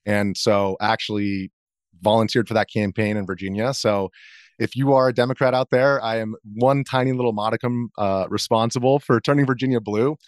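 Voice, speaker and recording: A man's voice: fairly low pitch, steady tone, brisk, no room sound, clean studio sound. A man in his thirties speaking as an interview guest, answering casually in a hesitant, rambling way. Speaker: interview guest, video journalist.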